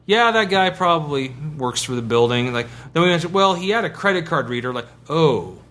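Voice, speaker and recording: Lively higher-voiced man telling an anecdote. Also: brisk. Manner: animated, acts out quoted dialogue. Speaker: podcast co-host.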